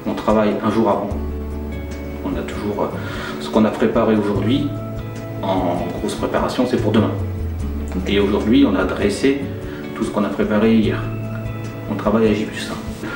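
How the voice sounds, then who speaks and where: A man's voice: fairly low pitch, fairly even intonation, normal speed, pauses often, distant mic. A man, talking informally and plainly about his job in an interview. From Nantes, western France. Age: 40s. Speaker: care-home head chef being interviewed. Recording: roomy, off-mic voice under clear music. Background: music.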